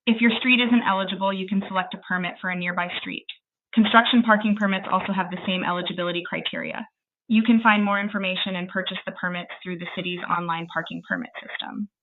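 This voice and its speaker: A woman's voice: medium pitch, fairly even intonation, fast talker. A woman's synthetic assistant voice on a phone line, giving polite, formal, scripted customer service answers without any hesitation. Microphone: phone call. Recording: tinny phone line with slight noise.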